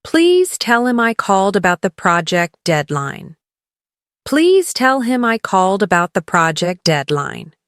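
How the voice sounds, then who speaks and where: A woman leading a scripted English lesson as a teacher, encouraging the learner and clearly enunciating model phrases for repetition. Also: medium pitch, very animated, a little slow, fairly bright tone, dry recording, studio quality. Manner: encouraging coaching.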